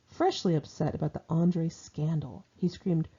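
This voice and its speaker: Husky, mellow woman reading her memoir. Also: low voice, unhurried. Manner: wry, sardonic, conversational delivery. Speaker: author reading own memoir aloud. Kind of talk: informal audiobook narration of diary entries. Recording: dull lo-fi home recording.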